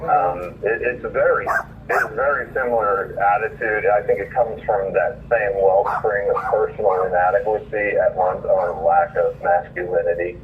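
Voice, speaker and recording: A man's voice: lowish voice, steady tone, normal speed. A man calling in to give his earnest, indignant opinion. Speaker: caller reading own essay. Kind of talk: reading a written opinion piece aloud, then informal remarks. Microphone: phone line. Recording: muffled phone call, distant, faint noise.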